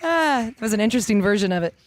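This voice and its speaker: A middle-aged American woman with a low, raspy voice, chatting casually and jokily between songs in a rambling, self-deprecating way. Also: expressive, very fast, some chatter behind. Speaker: singer-songwriter. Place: live-streamed home performance with guitar.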